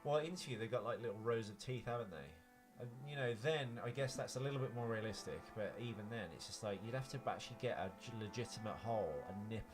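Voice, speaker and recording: Guy rambling quickly on a film podcast, casual and opinionated, music going behind. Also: on the low side. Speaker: podcast co-host. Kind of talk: casual banter and film commentary.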